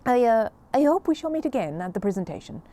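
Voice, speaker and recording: A woman's voice: a little high, sing-song, fast talker, dark tone, pitched above the performer's own voice. Storybook headmistress, female, hearty and formal, swooping delivery; played by a woman reader. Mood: doubtful.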